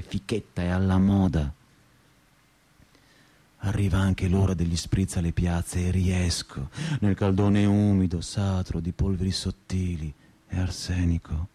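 A man's voice: fairly low pitch, warm tone, close to an everyday voice. An actor playing a sardonic, restless stoner man. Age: 30s. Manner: sarcastic, slangy, declamatory, swinging between mutter and outburst. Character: restless, sardonic 35-year-old provincial student pot-smoker telling his story. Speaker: stage actor, monologue performer. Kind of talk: theatrical radio monologue.

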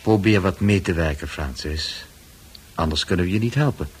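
An actor playing a calm, patient male psychiatrist in a radio drama, measured and coaxing. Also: low-pitched, a little hiss or hum, lightly acted. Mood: insistent, calm.

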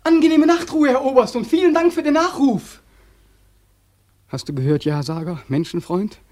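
An actor in a German radio drama playing a bitter, despairing young returned soldier, accusing and sarcastic, with impassioned, biting questions. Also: male voice, extremely high pitch, lively intonation, normal speed, a little mellow. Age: young adult.

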